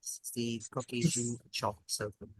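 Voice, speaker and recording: A man hosting a quiz, reading questions out in a measured, precise, polite way. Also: mid-range voice, little pitch movement, slightly rough, no echo. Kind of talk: formal quiz question reading over an online call.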